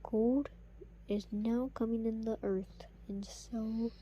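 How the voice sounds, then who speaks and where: A woman playing a solemn, resigned emergency alert announcer, with a halting, scripted delivery. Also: on the high side, fairly even intonation, mellow, highs cut off, slight background noise.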